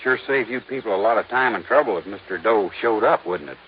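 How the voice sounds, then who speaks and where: An American actor playing a polite, uneasy twenty-year-old farm son in a 1950s radio drama. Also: male voice, fairly low pitch, fast talker, lightly acted. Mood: polite, guarded. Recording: muffled, faintly noisy vintage radio recording.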